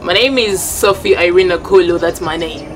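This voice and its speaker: A woman's voice: on the low side, moderate pace. Young woman singer, chill slangy talk, handheld mic outdoors, bit of noise behind. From northern Uganda. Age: young adult. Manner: slangy, laid-back, put-on American-style talk. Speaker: guest singer. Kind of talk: casual interview. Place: outdoors by a painted wall.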